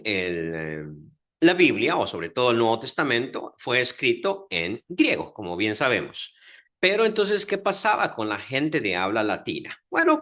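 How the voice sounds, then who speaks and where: A man with a Guatemalan accent gives a classroom lecture on scripture history. His pitch leaps and falls with lively emphasis, and he keeps checking that his listeners follow. His talk is full of fillers and restarts. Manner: animated, with many fillers and rhetorical check-ins. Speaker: Bible teacher lecturing. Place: Dominican Republic, class audience.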